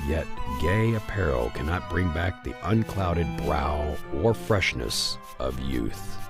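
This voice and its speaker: A man's voice: extremely low pitch, animated, slow pace, over music. A man narrating a scripted old-style radio melodrama in a dramatic, theatrical storytelling manner.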